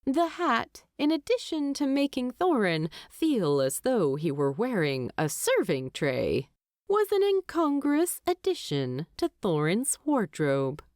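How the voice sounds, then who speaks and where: A woman narrating fiction from a script as an audiobook narrator, in a measured, expressive storytelling manner. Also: on the high side, no room sound, studio quality.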